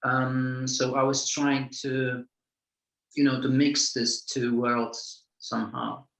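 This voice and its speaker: A film director answers questions in English, searching for words and circling back through repeated phrases. His voice sits at a middling pitch and carries a roomy echo. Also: level tone, creaky, a bit bright. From Poland. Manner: halting, many repeated words and restarts. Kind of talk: festival Q&A answer.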